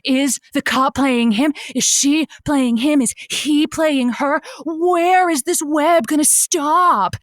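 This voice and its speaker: A woman playing an effusive, flustered radio advice host who talks in dramatic, halting bursts. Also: high voice, no echo, clean studio sound. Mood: excitedly dramatic. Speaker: call-in show host. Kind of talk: scripted audio comedy, radio host banter.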